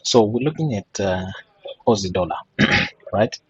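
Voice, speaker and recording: Husky-voiced man teaching chart trading. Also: fairly low pitch, expressive, measured pace, fairly bright tone, no echo, highs cut off. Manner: rhetorical questions and frequent "right?" checks. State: voice still hoarse after a throat infection. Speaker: forex trading educator. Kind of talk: screen-share chart walkthrough lesson.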